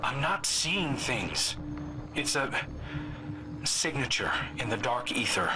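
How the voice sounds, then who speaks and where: An actor playing an earnest, troubled scout in a video game cutscene, thoughtful and wry like a campfire storyteller. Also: male voice, mid-range voice, very animated, slow, background noise. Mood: troubled, brooding.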